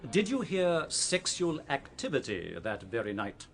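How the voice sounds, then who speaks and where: A man acting a forceful, theatrical prosecuting barrister with a formal, probing manner in a courtroom radio drama. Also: medium pitch, big pitch swings, a little slow, vocal fry. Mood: probing, measured.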